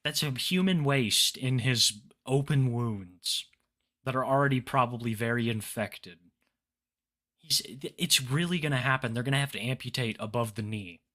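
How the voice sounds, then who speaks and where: A man co-hosting a podcast in casual chat, blunt and swearing freely, with dark humor. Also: medium pitch, moderate pace. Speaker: podcast co-host.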